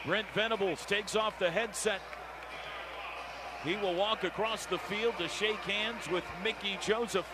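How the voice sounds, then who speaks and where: American male play-by-play radio caller, higher register, terse urgent phrasing, noisy stadium broadcast. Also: measured pace. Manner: clipped, urgent running description. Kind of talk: live American football radio play-by-play commentary. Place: outdoor football stadium. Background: steady stadium noise.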